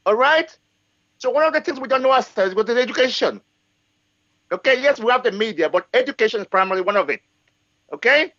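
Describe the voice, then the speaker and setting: A man's voice: very high voice, expressive, a little fast, pauses often, bright, forceful tone, no echo, muffled recording. A man in his forties from Africa, speaking in a live discussion with emphasis and frequent checks of "okay" and "yes". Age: 40s. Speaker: guest speaker.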